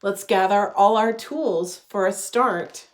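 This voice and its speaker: A woman in her thirties reading a children's storybook aloud with gentle, deliberate phrasing. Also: mid-range voice. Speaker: religious education director reading a children's story. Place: living room at home.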